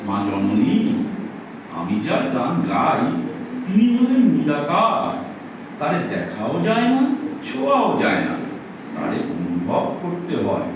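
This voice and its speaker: A man playing a gentle, wise wandering minstrel in an audio play, preaching tenderly. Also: a little high, huge pitch swings, takes it slowly, pauses often, exaggerated voice. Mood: serene, devout. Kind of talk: amateur audio play acting. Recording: echoey hall, far from mic, background noise.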